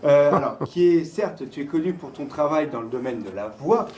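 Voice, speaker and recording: A man's voice: on the high side, animated, normal speed. A man hosting a casual interview, chatty and deferential toward his guest, recorded with some ambient noise. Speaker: interviewer, host. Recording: faint background ambient noise.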